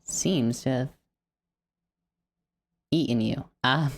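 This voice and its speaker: A woman's voice: rumbling low, drawn-out words. A woman casually hosting a livestreamed poetry event. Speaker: host of poetry slam stream.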